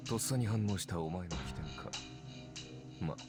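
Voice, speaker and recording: An actor playing a cold, scornful warrior spirit in a Japanese visual novel, with a measured, contemptuous delivery over game music. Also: male voice, sits low, lightly acted. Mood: cold, menacing. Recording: loud music behind the voice. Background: loud game music.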